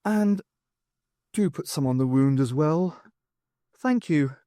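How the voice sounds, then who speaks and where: Adventurous heroine, read by a man, wry, lively intonation, highish, audiobook. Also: slow, no echo, clean studio sound. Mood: weak, polite.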